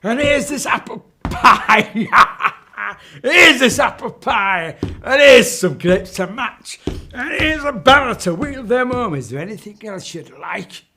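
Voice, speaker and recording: A man doing a high sing-song old witch. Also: expressive, slow, bright, forceful tone, exaggerated voice. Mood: eager. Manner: sing-song, gabbling offers. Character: cackling greedy old female witch.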